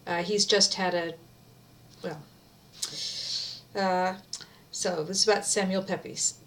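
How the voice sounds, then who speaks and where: A woman's voice: on the low side, fairly even intonation, takes it slowly, a little hiss or hum. Middle-aged woman, informal and conversational. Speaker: video maker reading a book aloud. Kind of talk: casual chat and amateur read-aloud of a book.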